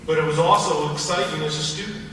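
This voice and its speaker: A man whose first language is Hungarian reads a prepared memorial tribute in a measured, earnest way, as an alumnus and trustee. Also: fairly high pitch, fairly even intonation, a bit bright, a little hiss or hum. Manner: measured, earnest reading pace. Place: large hall at a memorial service. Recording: distant echoey hall recording.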